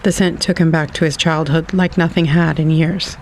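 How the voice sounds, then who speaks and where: Gravelly, even-toned male narrator. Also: a little high, normal speed, slight background noise, rough recording. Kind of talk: literary scripted narration.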